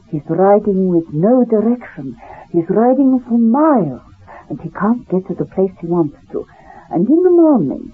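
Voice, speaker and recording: Old polish-accented lady, chatty proud survivor, husky voice, tinny old radio sound. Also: mid-range voice. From Poland. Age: older. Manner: rambling, addresses listener directly, laughs at herself. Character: elderly refugee woman, talkative, proud, wry survivor. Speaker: storyteller recounting her past. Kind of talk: 1970s radio drama monologue.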